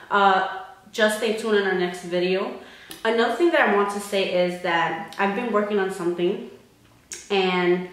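A young woman in her twenties chats straight to camera in a lively, expressive way, now and then slipping Spanish words into her English. Her mid-pitched voice bounces around a bare, very echoey room, picked up by a camera mic at desk distance. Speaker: vlogger. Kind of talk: casual chat to camera.

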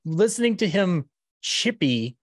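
Male, thirties, slightly high voice, podcast host, casual chat, dry close sound. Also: sing-song, measured pace, no room sound. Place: small room at home, video call. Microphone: podcast microphone on a boom arm.

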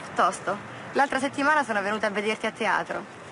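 An actress playing a breezy, overconfident police lieutenant in charge, chummy and blithely reassuring. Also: female voice, medium pitch, expressive, quick pace, noisy background, natural voice.

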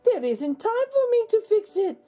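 Lady doing a fretful motherly village woman from a kids storybook, high and urgent, swoopy, music behind. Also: big pitch swings, measured pace, dark tone, full character voice. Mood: worried. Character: worried, agitated motherly village neighbour woman. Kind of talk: children's storybook read-aloud.

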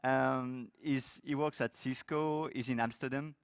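A middle-aged man from France with a French accent, giving a technical talk on a conference stage with loose, lively phrasing and many fillers. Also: mid-range voice, unhurried, creaky, smooth, dry recording, clean studio sound. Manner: loose, lively delivery with frequent fillers and restarts. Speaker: conference speaker. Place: large conference hall stage.

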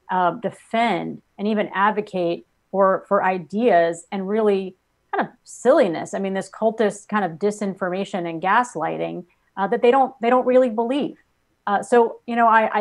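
An American woman in her forties giving earnest, halting political commentary as an interview guest on a video call. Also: mid-range voice. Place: small home office on a video call. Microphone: video call at desk distance.

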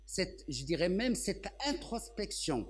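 Man in his sixties, panel speaker, slightly high voice, handheld mic. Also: very animated, a little slow, slightly rough. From Morocco. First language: Arabic. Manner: thoughtful, many hesitations and restarts. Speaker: translator on a literary panel. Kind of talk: round-table talk. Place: indoor festival stage, seated on a sofa. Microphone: handheld microphone.

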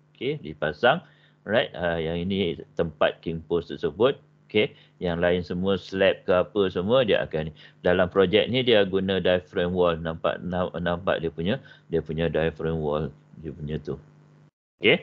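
Low-voiced Malaysian lecturer on a video call. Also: male voice. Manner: frequent "okey" fillers, mixes in English terms. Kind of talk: online lecture on basement construction. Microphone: webcam on a video call. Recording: dull video-call audio.

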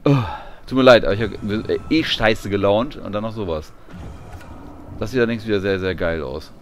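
A man's voice: lowish voice, normal speed, a little hiss or hum. A man giving casual, colloquial and often grumbling commentary while playing a video game. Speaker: gamer doing a let's play.

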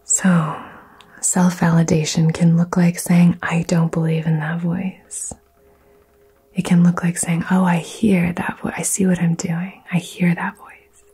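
A woman whispering soothing affirmations in an ASMR session, soft and gentle. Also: lowish voice, husky. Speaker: ASMR host.

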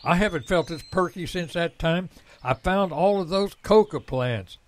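Cranky, cocky male adventurer for audio drama, played by a man; higher register, very lively delivery. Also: normal speed. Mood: exhilarated. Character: cranky, cocky, reckless male brother volunteering for war.

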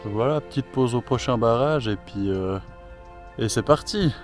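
A man talks to his camera while kayaking alone, relaxed and chatty, thinking aloud as he goes. His voice sits at an ordinary middle pitch, and some faint music plays beneath it. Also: normal speed. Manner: relaxed and chatty, thinks aloud, swears casually, many fillers. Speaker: solo kayak traveller vlogging his trip. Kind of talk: casual vlog narration to camera. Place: outdoors by a river.

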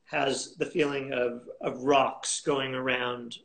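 Slow halting guy on a webcam call, lots of ums and restarts. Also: mid-range voice, flat delivery, gravelly, fairly bright tone. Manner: unhurried, halting, many fillers and restarts. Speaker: auction house specialist, guest. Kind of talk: conversational interview over video call. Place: home room indoors. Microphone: video call webcam.

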